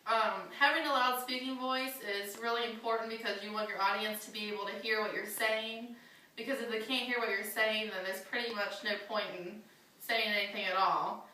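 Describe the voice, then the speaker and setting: Young woman, bright voice, echoey room. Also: mid-range voice, steady tone, moderate pace, a little hiss or hum. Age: young adult. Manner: earnest, slightly nervous, with fillers. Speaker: student giving a class speech. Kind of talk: prepared classroom speech. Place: classroom. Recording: echoey classroom from far off.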